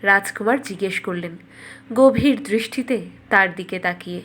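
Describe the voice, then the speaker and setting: A woman storyteller narrating a scripted fairy tale in a measured, dramatic way. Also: mid-range voice, fairly bright tone.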